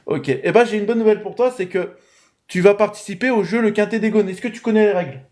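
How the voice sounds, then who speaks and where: High-voiced chatty male stream host. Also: fast talker, darkish tone. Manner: chatty, thanks viewers, runs a quiz. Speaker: live show host. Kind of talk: casual live-stream chat.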